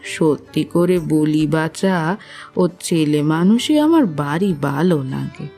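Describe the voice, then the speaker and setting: An actress plays an affectionate older mother figure in an audio drama. Her voice is rather low and very slow, fond and concerned, with faint music behind it. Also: animated, mellow, heavily acted. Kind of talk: literary audio drama acting.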